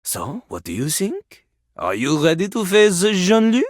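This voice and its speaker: Cocky male French toilet rapper, video game character, husky, pompous, swooping animated pitch, French accent. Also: unhurried, no echo, studio quality, exaggerated voice. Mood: boastful, haughty. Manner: pompous, talks of himself in third person. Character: cocky French rapping toilet, male, theatrical and self-important.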